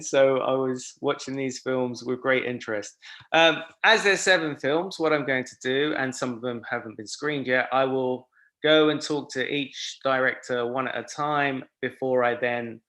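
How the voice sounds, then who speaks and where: A man's voice: medium pitch, fairly bright tone. Friendly man hosting a video-call panel. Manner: friendly, with frequent fillers and restarts. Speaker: festival panel host and film critic.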